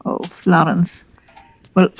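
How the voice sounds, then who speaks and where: A woman's voice: fairly low pitch, flat delivery, slow, gravelly, slightly rough, dry recording, exaggerated voice. An older actress playing a tender, sorrowful London grandmother in a 1940s radio drama. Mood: gentle, reluctant. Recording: muffled old radio recording.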